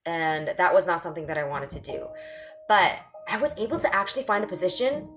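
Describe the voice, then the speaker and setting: Canadian woman hosting an online circle, upbeat, lowish voice, music in the background. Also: big pitch swings, brisk. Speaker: circle host. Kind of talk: casual group welcome, video call.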